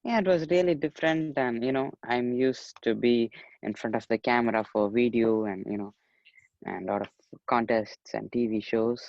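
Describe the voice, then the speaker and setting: A man's voice: mid-range voice, moderate pace, creaky, darkish tone. A polite, earnest young man from Chennai, still in his teens, talking through a muffled, dry recording with no room echo. He keeps dropping in "you know" as he recounts his story. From Chennai, India. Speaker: young actor and musician, interview guest. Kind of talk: casual interview.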